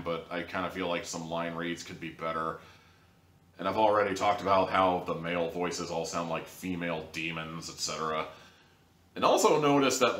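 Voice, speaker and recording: Deep-voiced guy rambling thru a blunt review, sounds far off the mic. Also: pushed and bright. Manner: candid, rambling, full of "like" and "you know". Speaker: audio drama reviewer. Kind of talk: casual spoken review. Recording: roomy echo, voice distant from mic.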